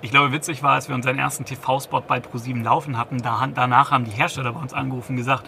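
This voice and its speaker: A man's voice: mid-range voice, flat delivery, racing, vocal fry, pushed and bright, a little hiss or hum. A man in his thirties, a company founder, giving a business interview, hesitant, with many restarts and fillers. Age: 30s.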